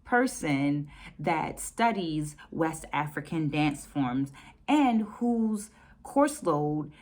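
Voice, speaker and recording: A middle-aged American woman reflecting on a book for her viewers in a measured, deliberate way. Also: sits low, lively intonation, a little mellow. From United States. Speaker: dance educator hosting a book discussion.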